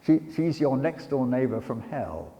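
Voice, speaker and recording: Older man, public lecturer, literary talk, mid-pitched, halting with repeated words, long echo of a church. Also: big pitch swings, dark tone, lo-fi sound. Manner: hesitant, often repeats words between polished phrases. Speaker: lecturer and dramatic reader. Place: talk in a church before an audience.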